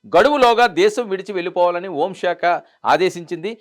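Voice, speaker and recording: A man's voice: high-pitched, a little fast. A man delivering news commentary in an emphatic way, repeating phrases for stress. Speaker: news presenter.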